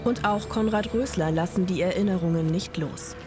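A woman giving scripted documentary narration in an even, sober way. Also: lowish voice, normal speed. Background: music.

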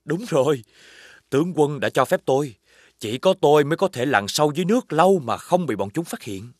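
An actor playing a brave, loyal young warrior in an animated folk tale, earnest and resolute in his delivery. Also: on the high side, brisk, no room sound, clean studio sound. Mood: determined.

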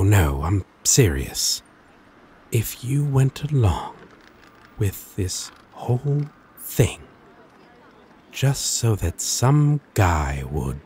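Slow, low-voiced flirty demon played by a man. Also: a little mellow, slight background noise, heavily acted. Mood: earnest, tender. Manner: teasing, halting, dramatic delivery. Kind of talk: ASMR romance roleplay acting.

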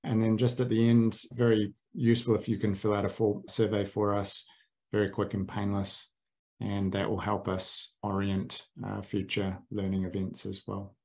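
A man hosting a webinar, giving a brisk, friendly introduction and housekeeping notes over a video call. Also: lowish voice, monotone, a little mellow. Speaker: webinar host. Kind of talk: event introduction. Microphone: computer mic on video call.

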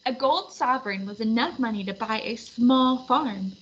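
A woman reading a children's storybook aloud in an animated narration style. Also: a little high, moderate pace, slightly rough. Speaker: storybook reader. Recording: slightly muffled, faint background noise.

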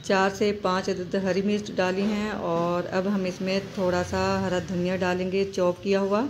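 Woman, cooking host, flat even delivery, instructive, repeats steps. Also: mid-range voice, unhurried, slight background noise. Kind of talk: step-by-step recipe narration.

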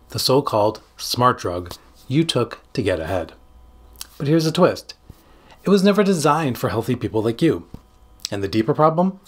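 A man in his forties, a psychiatrist hosting a scripted explainer to camera with emphatic, dramatic phrasing and a slightly husky voice. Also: mid-range voice. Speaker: psychiatrist and video host. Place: small home studio room. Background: background music.

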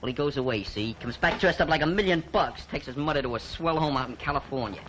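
An actor playing a scrappy, defiant young New York street kid with big dreams, talking tough and slangy in an old film. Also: male voice, medium pitch, quick pace, fairly bright tone, a little hiss or hum, lightly acted, rough recording.

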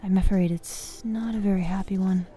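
A woman playing a bossy but caring young fighters guild master in an ASMR fantasy roleplay, soft-spoken and commanding. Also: mid-range voice, steady tone, natural voice. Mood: guarded. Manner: soft-spoken, commanding, frequent sighs and pauses.

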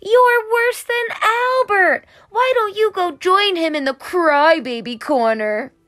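A woman voicing a mean, taunting schoolboy bully in a storybook, loud and mocking. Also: very high-pitched, takes it slowly, voice pushed up, exaggerated voice. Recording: music under the voice.

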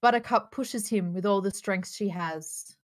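Woman narrating with mid-pitched voice. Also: unhurried. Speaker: stage-directions narrator. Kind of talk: scripted narration at a table read. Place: remote video call.

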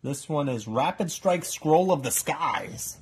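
A father in casual family chat at home, calmly reading out card names to his son. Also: a little high, slow. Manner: calm, reads out card names. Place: living room at home. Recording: loud background noise, home phone video.

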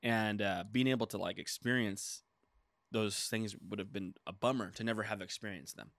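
A man in his thirties rambles through a relaxed podcast chat, leaning on filler words and acted-out asides. His voice sits a little low. He is recorded close on a desk mic in a small studio room with very little echo. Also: normal speed. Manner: rambling, many "like" fillers, animated retelling. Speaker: podcast co-host. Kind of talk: casual chat. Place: small studio room with dark curtain backdrop. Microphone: desk mic on boom arm.

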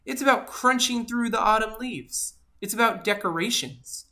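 Woman as a bright, polite little pumpkin seed. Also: a little high, normal speed, voice pushed up. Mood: earnest, persuading. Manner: polite, expressive, encouraging storybook delivery. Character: kind, earnest, polite pumpkin seed offering gentle advice. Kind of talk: animated children's storybook read-aloud.

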